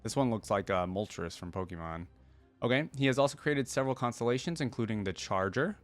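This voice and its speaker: A man hosting a reaction video in an informal way, reading text aloud and thinking out loud about it. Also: fairly low pitch, lively intonation, a little fast, faint music behind. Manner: informal, thinking aloud between read passages. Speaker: reaction channel host.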